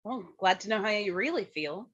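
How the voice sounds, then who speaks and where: A woman's voice: medium pitch, animated, brisk, a bit bright, husky, dull audio. A woman playing a sardonic, grumbling objector in a staged reading of a play.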